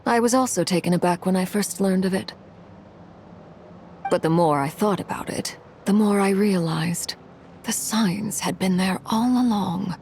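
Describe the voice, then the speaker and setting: An actress playing a cold, composed noble commander who speaks in a measured, formal way in a video game. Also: female voice, mid-range voice, a little mellow, slight background noise, lightly acted.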